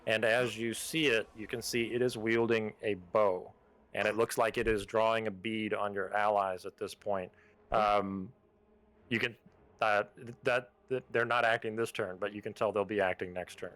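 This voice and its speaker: A man narrating as game master of a tabletop game, loose and halting with many fillers. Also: on the low side, flat delivery, a bit bright.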